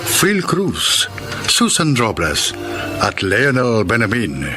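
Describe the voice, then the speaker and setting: Slow, animated Filipino male announcer. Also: mid-range voice, over music. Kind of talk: radio drama closing credits announcement.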